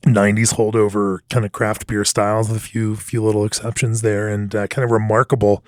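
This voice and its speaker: A man hosting a podcast in casual conversation, with a gravelly baritone voice and a calm, soothing, easygoing way of talking. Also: a little fast, no room sound, studio quality. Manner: relaxed, calm and soothing, with frequent fillers. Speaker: podcast host.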